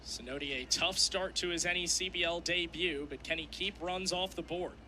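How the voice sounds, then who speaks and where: A young man calls a game on the radio in a high, very bright and forceful voice. His phrasing is clipped and descriptive, laying out each play as it happens. A faint bed of ballgame ambience sits behind him. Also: moderate pace, a little hiss or hum. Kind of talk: live sports commentary.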